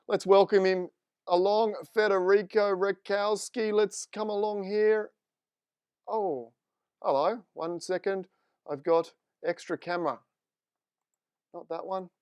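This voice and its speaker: A man's voice: high-pitched, takes it slowly, pauses often, a little mellow, smooth, no echo. A man with an Australian accent hosting a live stream interview, chatting informally and upbeat. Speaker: host. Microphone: desk microphone.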